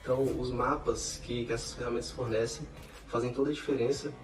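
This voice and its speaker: A man's voice: medium pitch, steady tone, brisk, echoey room, far from the mic, faint music behind. A man in his twenties from Brasília giving a brief, plain testimonial. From Brasília, Brazil. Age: 20s.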